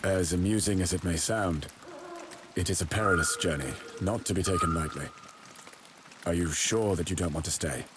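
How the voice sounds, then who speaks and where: A man's voice: deep voice, normal speed, close to an everyday voice. A man playing a grave, weary knight lord who leads a quest in a game cutscene, with formal speech. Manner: solemn, formal. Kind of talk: dramatic game cutscene acting. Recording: rain and clear background noise.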